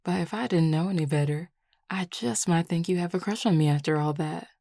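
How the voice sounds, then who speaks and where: Woman playing a low-voiced Southern werewolf flirt. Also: a little fast, vocal fry, warm tone, no room sound, clean studio sound. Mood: playful, flirty. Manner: teasing drawl with colloquial phrasing. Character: flirtatious, teasing Southern werewolf, relaxed and affectionate. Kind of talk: audio roleplay acting.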